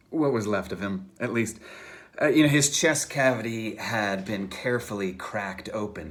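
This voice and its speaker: Low-voiced man giving a dramatic reading. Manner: conversational, halting, theatrical emphasis. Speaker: author reading from own novel. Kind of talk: dramatic first-person book reading.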